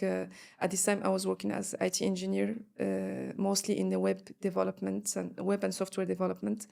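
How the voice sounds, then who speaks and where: A woman's voice: medium pitch, creaky, darkish tone. A woman from Morocco, a guest on an interview podcast, talking with many fillers and restarts.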